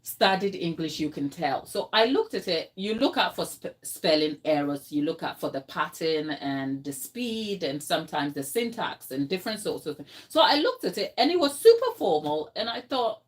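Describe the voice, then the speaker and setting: A woman's voice: lowish voice, very animated, moderate pace, few pauses. A Ghanaian woman chatting casually in her home kitchen, telling a story with lots of fillers and asides to her viewers. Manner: chatty storytelling, many fillers, talks to live chat. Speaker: home baker and channel host. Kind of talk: casual chat while working in the kitchen.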